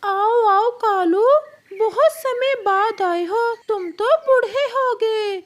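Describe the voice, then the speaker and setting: Orphanage keeper bird for a cartoon, played by a woman, very high voice, knowing, frank and emphatic. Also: takes it slowly. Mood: jovial greeting. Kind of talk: Hindi cartoon story acting.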